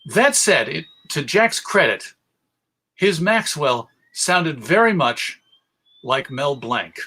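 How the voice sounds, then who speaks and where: Bright, higher-voiced American man lecturing. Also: sing-song, takes it slowly, breathy edge, poor audio. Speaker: presenter. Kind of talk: public lecture on radio history.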